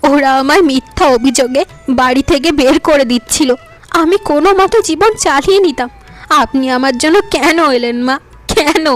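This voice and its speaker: A woman voicing a gentle elder wife in a cartoon, wrongly accused and pleading. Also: high voice, normal speed, faint music behind, lightly acted. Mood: tearful. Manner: hurt, pleading.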